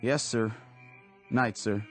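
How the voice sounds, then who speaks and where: A man's voice: on the low side, steady tone, slow pace, a bit bright. A young man acting in a radio drama as an earnest, anxious, superstitious and talkative army private. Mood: dutiful. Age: young adult. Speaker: actor. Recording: radio drama with music underneath.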